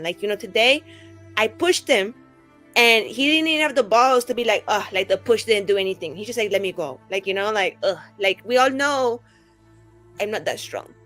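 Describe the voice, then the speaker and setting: A woman improvises in-character banter as a tabletop roleplaying player. Her voice sits at an average pitch and she talks informally, with exasperated emphasis. Soft music plays underneath.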